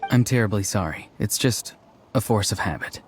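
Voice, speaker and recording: A man's voice: fairly low pitch, lively intonation, normal speed, over loud music, close to an everyday voice. Man playing a polite, earnest young character. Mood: sheepish, polite. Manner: apologetic, hesitant, soft-spoken politeness. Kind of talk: English game voice acting.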